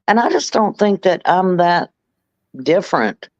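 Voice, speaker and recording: A woman's voice: on the low side, expressive, a little slow, darkish tone, no room sound. Sing-song Southern woman from North Carolina. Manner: drawling, sing-song, says honey and darling. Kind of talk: casual chat. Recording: dull lo-fi sound.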